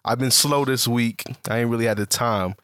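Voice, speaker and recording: A man's voice: medium pitch, normal speed, a bit bright, clear, resonant tone, dry recording, clean studio sound. Laid-back slangy man in his late twenties. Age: 20s. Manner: laid-back slangy talk with many ums. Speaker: podcast co-host. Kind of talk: casual chat. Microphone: studio mic.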